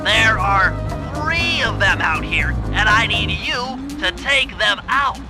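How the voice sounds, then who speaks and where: A man's voice: sits high, lively intonation, takes it slowly. A man voicing a brainy, nervous turtle who plans heists in a cartoon game, nasal, fussy and precise. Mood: urgent briefing. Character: brainy nervous male turtle, gadget-minded heist planner. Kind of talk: cartoon game voice acting, mission briefing. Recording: music behind the voice.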